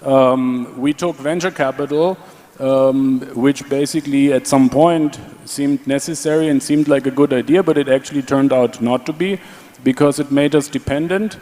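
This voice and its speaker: Mellow-voiced panelist man in a hall. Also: medium pitch, fairly even intonation, normal speed. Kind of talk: conference panel discussion. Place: large conference hall. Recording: reverberant conference hall.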